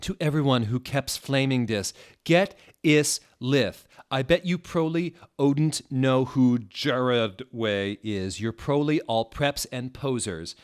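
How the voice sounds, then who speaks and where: A man's voice: medium pitch, slow pace, clear, resonant tone, voice pushed up, lightly acted. A man voicing a bratty, defensive teenage girl author in a comedic reading of her notes. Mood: defiant.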